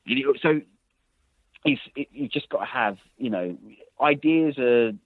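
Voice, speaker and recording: A man talks on a narrow, phone-like line with a slightly low, husky voice. He tells long stories in a loose, rambling way, restarting sentences and stacking fillers as he goes. The sound is dry and close. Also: animated, a little slow, dry recording. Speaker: journalist and author, guest. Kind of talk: casual interview answers.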